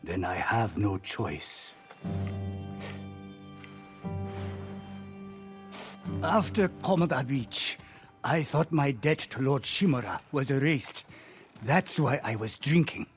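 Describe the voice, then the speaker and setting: An actor playing a weary, hard-drinking smuggler in a video game, wry and evasive. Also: male voice, medium pitch, very animated, measured pace. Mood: gloomy, weary. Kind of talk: cinematic video game dialogue. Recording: music under the dialogue.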